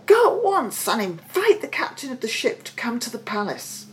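A woman voicing an excitable old king, exclamatory and imperious, in a storybook reading. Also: fairly high pitch, normal speed, voice pushed up. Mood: astonished, commanding. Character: excitable old king, hearty and easily astonished.

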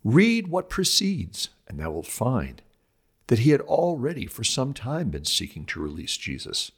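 A man reading an old sermon aloud as a scripted religious commentary, with deliberate, emphatic oratorical phrasing. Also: medium pitch, wildly swooping pitch, slightly rough.

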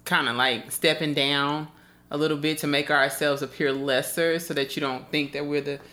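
A woman's voice: deep voice, fairly even intonation, moderate pace, sharp tone. A woman co-hosting a casual podcast conversation, asking reflective questions and often restarting her sentences. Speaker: podcast co-host.

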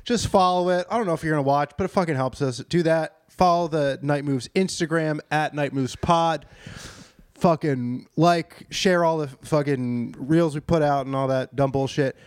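Profane, loud man hosting a podcast. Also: on the high side, moderate pace, clear, resonant tone, no room sound. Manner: loud, profane, plugging the show. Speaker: podcast co-host. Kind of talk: crude casual podcast banter.

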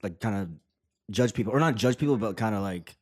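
A man in casual conversation, laid-back, swearing freely and pausing on "uh". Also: on the low side, darkish tone.

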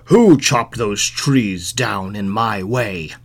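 A man voicing a cruel, angry king in a storybook tale, with a slow, commanding manner. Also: fairly low pitch, voice pushed down.

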